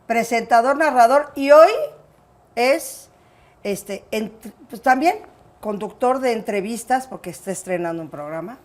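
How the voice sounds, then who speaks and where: A woman's voice: a little high, measured pace, darkish tone. Effusive Mexican woman hosting an interview. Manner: effusive, affectionate. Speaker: interview show host. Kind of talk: warm, affectionate personal interview.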